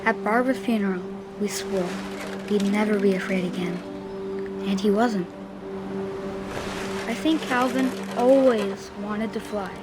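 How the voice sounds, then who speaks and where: A woman's voice: mid-range voice, steady tone, unhurried, long pauses, lightly acted. A woman playing a solemn young boy in a game, telling of his brother in a plain, reflective way. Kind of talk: scripted storytelling narration. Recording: music behind the voice. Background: prominent music.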